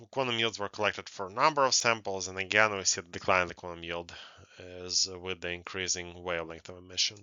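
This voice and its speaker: Halting low-voiced man lecturing, dull audio. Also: bright, forceful tone, smooth, no echo. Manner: halting, hesitant delivery with frequent pauses and hums. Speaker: university researcher presenting. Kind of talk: scientific conference lecture over slides.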